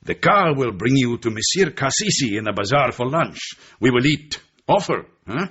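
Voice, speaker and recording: An actor playing a genial, shrewd Turkish intelligence chief in a radio drama, with a Turkish accent, a husky voice and an expansive manner. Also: male voice, mid-range voice, sing-song, moderate pace, fairly bright tone, muffled recording. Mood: warm, confiding. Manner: expansive, tags sentences with a questioning "eh".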